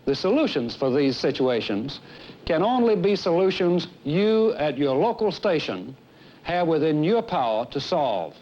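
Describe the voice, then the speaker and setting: An American man in his fifties giving a formal, scripted address as an airline executive, emphatic, earnest and deliberate. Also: a little high, big pitch swings, a little mellow. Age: 50s. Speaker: airline executive presenting a staff training film. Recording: dull, noisy 1970s film soundtrack.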